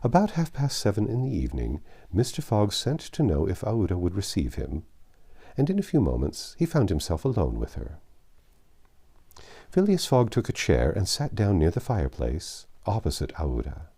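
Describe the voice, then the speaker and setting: A man with a low, mellow voice narrates a classic novel. His pitch moves freely as he goes, and his delivery is measured and expressive, like an audiobook storyteller. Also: breathy edge.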